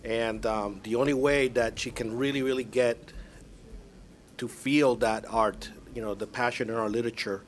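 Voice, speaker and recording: A man's voice: mid-range voice, fairly even intonation, a little hiss or hum. Earnest man, Spanish native speaker. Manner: earnest, halting, restarts phrases. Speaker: school board chair. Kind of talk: public meeting remarks. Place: school board meeting room.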